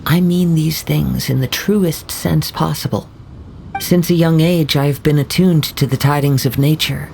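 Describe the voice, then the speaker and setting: A man voicing a calm young wandering samurai who speaks in a formal, measured, courteous way. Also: fairly high pitch, gravelly, slight background noise. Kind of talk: video game voice acting.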